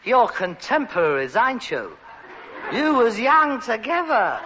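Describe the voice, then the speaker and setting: High-pitched whining Cockney son. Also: male voice, big pitch swings, unhurried, bright, forceful tone, a faint crowd, exaggerated voice. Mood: exasperated. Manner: whining, dramatic, indignant. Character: exasperated, pretentious rag-and-bone man, put-upon grown son. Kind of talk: British sitcom acting. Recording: dull, noisy old sitcom recording.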